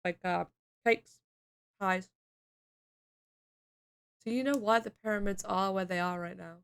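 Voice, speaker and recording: A woman's voice: mid-range voice, steady tone, unhurried, vocal fry, clear, resonant tone. A young woman from the UK chatting casually on a stream, reading chat aloud, laughing often and swearing. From United Kingdom.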